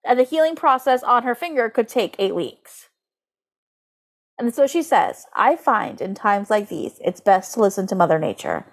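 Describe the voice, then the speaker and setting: A woman's voice: a little high, big pitch swings, moderate pace. A woman co-hosting a podcast in casual comedic chat, talking informally and incredulously, sometimes reading aloud with drama. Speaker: podcast co-host.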